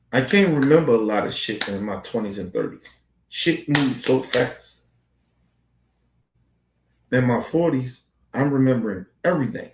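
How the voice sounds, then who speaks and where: A man's voice: medium pitch, roomy sound, poor audio. A man in his forties talking in a casual, rambling monologue as a podcast host, heavy on street slang and profanity. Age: 40s.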